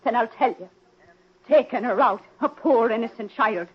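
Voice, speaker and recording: A woman's voice: on the high side, moderate pace, breathy edge. An actress playing a grieving, accusing mother in an emotional 1940s radio drama style. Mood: bitter, accusing. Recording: muffled old-time radio recording.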